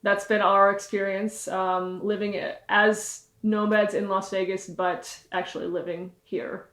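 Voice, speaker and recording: A young woman co-hosting a travel vlog, talking in a relaxed, chatty way. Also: medium pitch, a little slow, reverberant. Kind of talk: casual chat.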